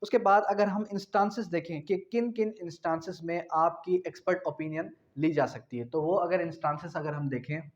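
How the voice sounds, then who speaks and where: Male law teacher, Pakistani accent, slightly high pitch, didactic and repetitive with English terms mixed in; dull recording. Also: moderate pace, few pauses. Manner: didactic, repeats phrases and asks himself questions. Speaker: law lecturer. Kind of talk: explanatory law lecture mixing in English terms. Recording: dull, lo-fi sound.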